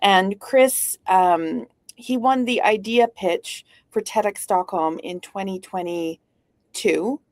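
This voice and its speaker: A middle-aged woman from Canada talks in a loose, witty storytelling way, with lots of "like" and quick asides. Her voice sits at a medium pitch. The sound is dry and close, with little room around it. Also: no room sound. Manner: witty, storytelling, uses "like" often. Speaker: guest speaker, founder. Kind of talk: casual conversational interview.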